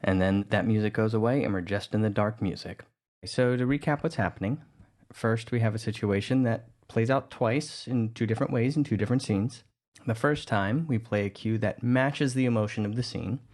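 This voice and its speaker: A man narrating a tutorial on music editing in a relaxed, explanatory way. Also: lowish voice, normal speed. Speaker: audio drama producer teaching music editing. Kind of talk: tutorial voice-over.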